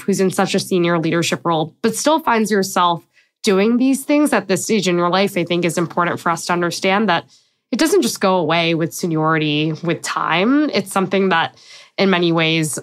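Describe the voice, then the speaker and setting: A woman's voice: fairly low pitch, a bit bright. A woman hosting a podcast, affirming her guest and asking long, carefully framed questions. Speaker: podcast host. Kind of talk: interview questions.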